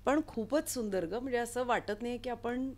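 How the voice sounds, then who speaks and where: A woman's voice: on the high side, moderate pace, a little mellow. A woman hosting a conversational studio interview in an appreciative, encouraging way. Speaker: interview host. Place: recording studio.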